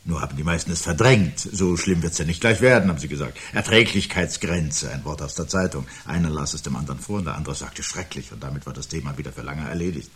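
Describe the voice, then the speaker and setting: Deep, husky, brooding doomsayer. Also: male voice, fairly bright tone, exaggerated voice. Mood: bleak, insistent. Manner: grave, deliberate, articulate, philosophical monologuing. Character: brooding, anxious man prophesying the end of life. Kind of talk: 1970s German radio play acting.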